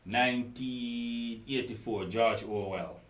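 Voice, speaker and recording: A man's voice: mid-range voice, slow, fairly bright tone. A man, hosting a casual chat with blunt, opinionated remarks. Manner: blunt, opinionated, patois phrasing. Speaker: host.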